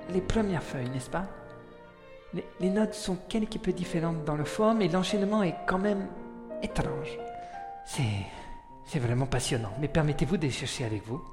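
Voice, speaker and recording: A refined, courteous music scholar of the 1880s in an audio drama. His voice is fairly high for a man, echoing in a long hall reverb over clear music. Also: animated, measured pace, pauses often. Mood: fascinated. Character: cultured, gallant music scholar at an 1880s conservatoire. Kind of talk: French audio drama acting.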